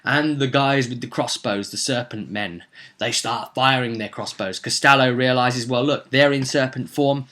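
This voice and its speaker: A man's voice: medium pitch, moderate pace, sharp tone. A man recapping a tabletop roleplay session as game master, telling it theatrically with casual asides.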